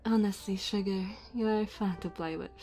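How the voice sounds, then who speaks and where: A woman's voice: medium pitch, fairly even intonation, moderate pace, mellow, natural voice. A woman playing a sassy, flirty diner waitress in an ASMR roleplay, teasing and chatty.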